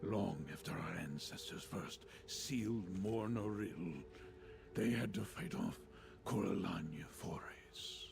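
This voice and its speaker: Ancient solemn lore keeper, low-pitched, unhurried, portentous, breathy gravel, video game fantasy. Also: male voice, heavily acted. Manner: measured, portentous, formal storytelling. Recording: faint background noise, game ambience.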